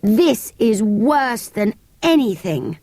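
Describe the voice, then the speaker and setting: A woman's voice: fairly high pitch, big pitch swings, slow pace, warm tone, no room sound, studio quality, exaggerated voice. A woman with a British accent playing a blunt, hungry younger schoolboy in a storybook, emphatic and easily dejected.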